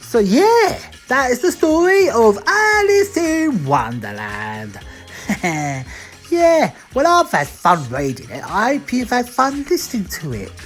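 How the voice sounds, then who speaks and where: A woman telling a bedtime story theatrically, with playful asides to the listener. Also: mid-range voice. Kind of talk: animated bedtime story narration.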